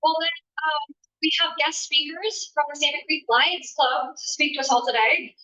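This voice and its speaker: Woman chairing the meeting, high bright voice, kinda far from the mic. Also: steady tone, moderate pace. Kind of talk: meeting introduction. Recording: distant room mic.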